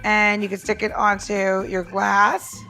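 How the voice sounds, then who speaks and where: A woman's voice: medium pitch, level tone, measured pace, vocal fry, pushed and bright, faint music behind. A woman podcast co-host casually walking listeners through making a cocktail, with a chatty, loose delivery full of fillers.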